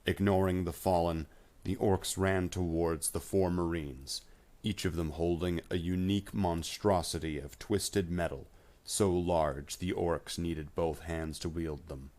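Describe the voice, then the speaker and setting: A male storyteller reads dramatic fiction in a very deep voice. His delivery is slow and measured, with deliberate phrasing throughout. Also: fairly even intonation, darkish tone. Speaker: narrator. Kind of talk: scripted dramatic story narration.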